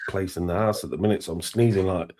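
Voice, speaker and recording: A man's voice: sits low, fairly even intonation, fast, a little mellow. A man chatting as a guest on a live show, rambling and restarting his sentences. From Scotland. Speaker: call-in guest. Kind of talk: casual chat.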